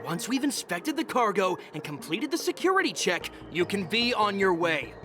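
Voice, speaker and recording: Condescending inspector, high lively pitch, music behind. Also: male voice, normal speed. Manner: condescending, insulting, tired. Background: game music.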